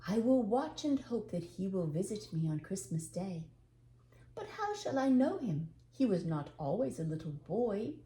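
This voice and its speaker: A woman voicing a kindly, hopeful old widower who muses gently to himself in a storybook reading. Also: medium pitch, very animated, measured pace, roomy sound. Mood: wondering, hopeful. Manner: gentle, thoughtful musing to himself. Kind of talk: storybook character voice.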